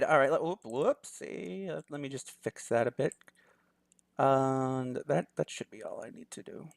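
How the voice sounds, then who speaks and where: A man's voice: medium pitch, creaky, dry recording. A young man hosting a let's play, chatting casually and reading a visual novel's story text aloud. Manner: chatty, laughs easily, thinks aloud.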